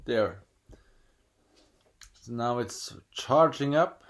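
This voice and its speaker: A man's voice: mid-range voice, expressive, drawn-out words. A man from Norway with a Norwegian accent, chatting casually at home while unboxing, rambling and hesitant with many restarts, reading screen prompts aloud. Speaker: vlogger.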